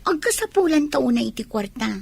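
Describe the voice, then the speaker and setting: A woman's voice: high-pitched, sing-song, brisk, creaky, a little mellow, exaggerated voice. A woman with an Ilocano accent playing a coy, scheming young college girl in a radio drama.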